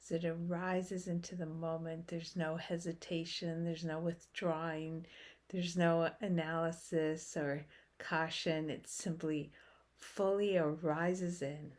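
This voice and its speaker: A woman leading a guided meditation in a soothing, unhurried way, with long pauses between short phrases. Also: low voice, little pitch movement, darkish tone.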